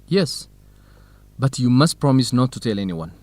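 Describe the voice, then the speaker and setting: A man's voice: mid-range voice, very animated, normal speed, darkish tone, dry recording, lightly acted. An actor playing a dutiful, determined young man from Ethiopia in a radio drama for English learners. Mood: confiding. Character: young Ethiopian farm son, dutiful, determined, quietly lovestruck.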